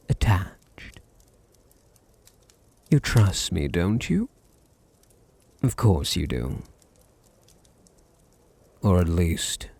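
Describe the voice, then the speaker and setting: Guy doing a british vampire master for asmr roleplay, dark mellow husky voice, swoopy and condescending, possessive and dominant male. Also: no room sound, heavily acted. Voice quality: deep, husky, gravelly. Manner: slow, teasing, condescending, drops into breathy murmurs. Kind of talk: intimate ASMR audio roleplay.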